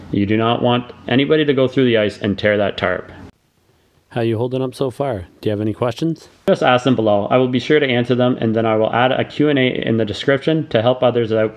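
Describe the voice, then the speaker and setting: A middle-aged man, reading a scripted voice-over tutorial in steady step-by-step instructions. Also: mid-range voice. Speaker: DIY channel host.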